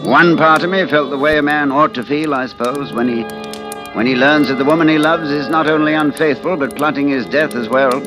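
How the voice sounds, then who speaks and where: An actor playing a betrayed husband, a bitter and quietly calculating man who speaks in a brooding, deliberate way in an old-time radio suspense drama. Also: on the high side, natural voice. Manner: brooding, deliberate confessional delivery with dramatic pauses. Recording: vintage radio broadcast recording with music.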